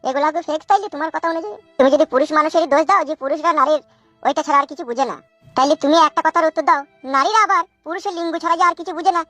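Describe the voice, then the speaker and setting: A man's voice: very high voice, bright, forceful tone, no room sound. A man voicing a coaxing, coarse new husband in a comic cartoon, theatrical and colloquial.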